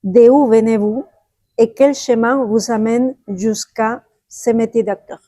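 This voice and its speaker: A woman interviewer asks questions and answers with quick, eager reactions. Her voice is fairly high but mellow and warm. The sound is dry and close. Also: steady tone, no room sound. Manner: enthusiastic, frequent short reactions.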